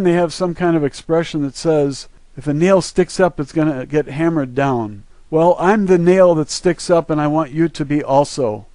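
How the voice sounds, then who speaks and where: An American man speaks in a fairly high register, recorded close and dry. He presents a solo monologue in an earnest, preachy way. His delivery is emphatic, as he lays out points and urges listeners on. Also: darkish tone, dry recording. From United States. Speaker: video creator and presenter. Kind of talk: solo monologue, part reading a list, part commentary.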